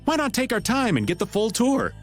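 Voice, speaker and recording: Very animated roguish older guy. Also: very high-pitched, fairly quick, soft background music, full character voice. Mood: playful, teasing. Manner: laid-back, playful, casual. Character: breezy, roguish middle-aged man, playful slacker. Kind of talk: video game dub acting.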